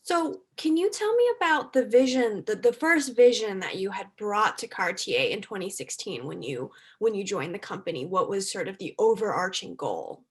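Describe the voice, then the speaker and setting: A young adult woman moderating an interview, asking long, measured framing questions. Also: mid-range voice, expressive, slightly rough. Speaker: interview moderator, fashion editor.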